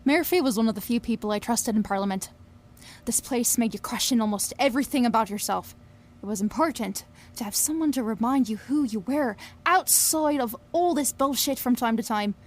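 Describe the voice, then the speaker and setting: A woman's voice: on the high side, normal speed, natural voice. An actress playing a brash, wistful young woman in an audio drama, confiding and wry, with plenty of swearing. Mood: fond, reflective. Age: young adult. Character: Billie, brash, wistful young Scottish woman obsessed with fairies. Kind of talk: audio drama narration and dialogue.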